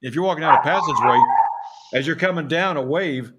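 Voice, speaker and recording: A man's voice: a little high, fairly quick, heavy background noise. Older texan guy chatting as co-host, tells stories, stumbles a bit. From Texas. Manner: storytelling, stumbles on repeated words. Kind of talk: casual chat.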